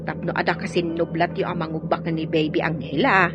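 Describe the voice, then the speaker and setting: A woman's voice: low voice, level tone, fairly quick, over loud music, close to an everyday voice. A woman playing a caring, polite housemaid in a radio drama. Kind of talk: Ilocano radio drama acting. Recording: dull lo-fi audio.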